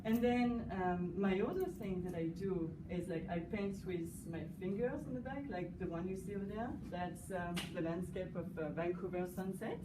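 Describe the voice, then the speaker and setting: A woman with a French accent speaks in a lowish voice, pausing often with fillers as she talks through her work. She is recorded from a distance in an echoey space, with clear noise behind her. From France. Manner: hesitant, many fillers, warm laughter. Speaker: guest artist. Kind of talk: casual interview. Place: cafe gallery. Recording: echoey room, distant mic, background noise.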